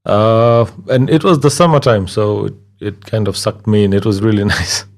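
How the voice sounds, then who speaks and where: Guest: relaxed man from Kenya, 30s, lowish voice, easygoing pauses. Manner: relaxed, hesitant with many fillers, laughs easily. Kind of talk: casual interview conversation.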